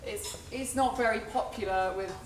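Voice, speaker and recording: A woman's voice: medium pitch, normal speed. A woman folk musician casually introducing a tune on stage, chatty and hesitant with many fillers. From England. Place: live music venue. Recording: echoey room, distant mic, background noise.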